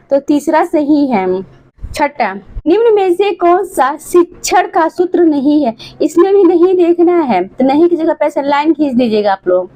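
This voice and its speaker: A woman teacher with a hoarse throat, reading exam questions aloud and giving the answers to her students. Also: high voice, darkish tone, rough recording. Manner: addresses students fondly, reads questions then answers.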